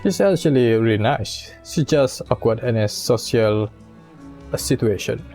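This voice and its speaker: Mellow male game streamer, halting delivery. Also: medium pitch, lively intonation, soft background music. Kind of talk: casual playthrough commentary, reading dialogue choices aloud.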